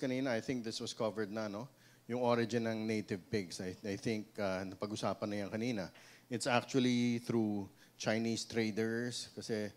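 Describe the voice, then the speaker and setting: A man in his thirties with a Filipino accent speaks into a handheld mic before a seated audience in a small seminar room. His voice sits a little low, and his talk is conversational, with restarts and a tag "no?" at the end of many points. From Philippines. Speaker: seminar speaker, pig breeder. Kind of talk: seminar talk mixing English and Tagalog. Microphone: handheld microphone.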